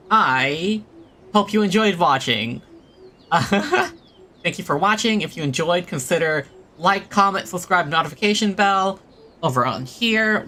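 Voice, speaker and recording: A game streamer chatting casually to viewers, rambling and low-key with a self-deprecating touch. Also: sharp tone. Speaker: livestreamer playing a video game. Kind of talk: casual livestream chat and game commentary.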